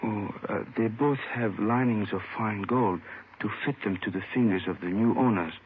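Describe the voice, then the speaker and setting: A man's voice: on the low side, normal speed, natural voice. A man acting an injured, courteous jade dealer in a 1950s radio drama, speaking formally and carefully with some hesitation. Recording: tinny, faintly noisy old radio recording.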